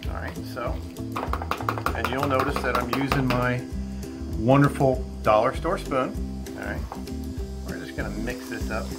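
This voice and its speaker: A man's voice: mid-range voice, normal speed, long pauses. Man in his fifties, laid-back camp-cooking host, patio camera sound, music underneath. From Florida. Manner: relaxed, chatty, lots of "all right" fillers. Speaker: outdoor cooking channel host. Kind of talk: casual cooking demo. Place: outdoor covered patio at a table.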